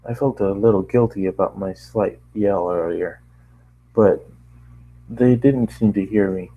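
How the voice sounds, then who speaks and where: A man's voice: on the low side, a little slow, narrow, tinny audio. A man reading a first-person story aloud as an amateur narrator, often tripping over lines and starting them again. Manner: stumbles over lines and rereads them, apologising. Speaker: amateur fiction reader and narrator.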